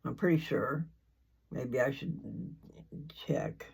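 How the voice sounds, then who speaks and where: An older speaker with a husky, unpolished voice talks at a very unhurried pace. The delivery is folksy and informal, wandering through casual chat and pausing to hunt for the next word. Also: mid-range voice. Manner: folksy, informal, meandering speech; halting, searching for words. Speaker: host of a scripture reading channel. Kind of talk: rambling casual chat before a reading.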